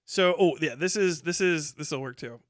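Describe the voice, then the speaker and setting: High-pitched, profane male livestreamer. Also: moderate pace, no echo, clean studio sound. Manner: profane, blunt, mocking. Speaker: livestream host. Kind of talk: casual live reaction commentary, reading posts aloud.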